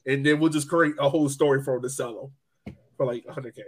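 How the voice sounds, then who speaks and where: A man's voice: mid-range voice, steady tone, fast talker. A man hosting a sports podcast, chatting casually in a joking, playful way. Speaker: podcast host.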